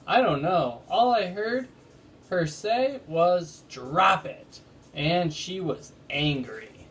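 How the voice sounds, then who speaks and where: An eager young boy from a storybook mystery, read out by a man. The voice sits a little high and is earnest. Light noise runs behind it. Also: animated, takes it slowly, a bit bright, exaggerated voice. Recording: noticeable background noise.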